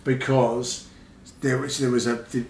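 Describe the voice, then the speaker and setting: In an echoey room, a middle-aged man chats casually about books. His voice sits at an average pitch, and he lays out his opinions in an explanatory way. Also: little pitch movement, unhurried. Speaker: father reviewing books with daughter. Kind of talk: casual book discussion.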